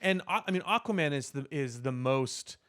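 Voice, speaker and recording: A man's voice: on the high side, expressive, a little fast, a bit bright. A man co-hosting a casual podcast, giving firm opinions and explaining his points at length. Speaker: podcast co-host. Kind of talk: casual pop culture discussion.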